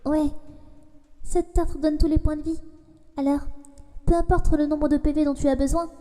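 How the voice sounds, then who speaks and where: Woman playing a high, soft-toned gentle child. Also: level tone, moderate pace, in a large hall, lightly acted. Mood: hopeful, reasoning. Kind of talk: dramatic fan comic dub.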